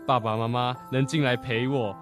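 A man's voice: mid-range voice, fairly even intonation, normal speed, over music, close to an everyday voice. A teenage boy playing a cheeky, contradicting younger brother in a Taiwanese Mandarin children's radio drama. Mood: correcting, reflective.

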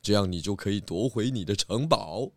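An actor playing a shrewd pig detective who explains and admonishes in a children's audio drama. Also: male voice, fairly low pitch, animated, brisk, noisy background. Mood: explaining. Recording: music behind the voice.

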